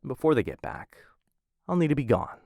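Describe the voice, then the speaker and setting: A man performing an ASMR roleplay as a suave, smitten assassin of a noble house, speaking in formal, courtly phrases with hesitant softer asides into a close mic. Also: medium pitch, sing-song, a little fast, creaky, no room sound. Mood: gently protective. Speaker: voice actor in a boyfriend roleplay audio. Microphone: close studio-style mic.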